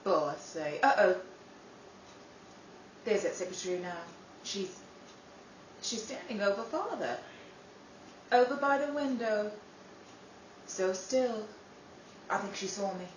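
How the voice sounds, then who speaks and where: A woman playing a bitter, scheming estranged daughter in a theatrical, deliberate audio drama style. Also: mid-range voice, expressive. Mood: brooding. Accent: English. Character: bitter, scheming estranged daughter, plotting against her blind father. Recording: echoey, noisy room, distant mic.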